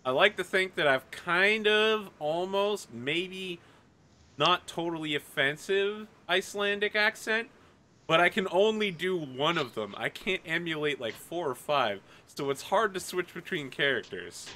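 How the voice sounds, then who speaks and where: A man running a tabletop roleplaying game as game master, animated and jokey. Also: high voice, measured pace.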